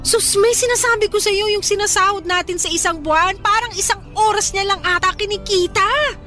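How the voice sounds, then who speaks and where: A sassy young woman with big material dreams played by a woman in a radio-style drama. Her voice sits very high, and she drops English phrases with dramatic defiance. Music plays softly behind her. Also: fairly quick. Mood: smug, greedy. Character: brash, money-hungry young woman resort worker, dreamy and defiant.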